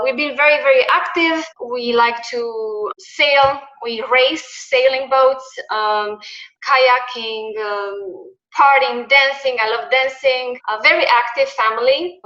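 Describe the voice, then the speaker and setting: A woman in her forties with a Romanian accent, telling her own story as a guest in a halting way, often repeating words. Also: a little high, pushed and bright, echoey room, muffled recording. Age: 40s. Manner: halting, repeats words, fights back tears. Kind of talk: emotional interview testimony.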